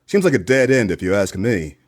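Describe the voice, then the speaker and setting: A man's voice: fairly low pitch, sing-song, fairly quick. A man playing a wry, cynical hard-boiled detective in a noir parody radio play, dry and sardonic.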